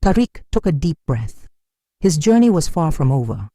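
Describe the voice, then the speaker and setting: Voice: mid-range voice, very animated, normal speed, dark tone, no room sound, clean studio sound. A narrator delivering a dramatic scripted folktale in a solemn, suspenseful manner.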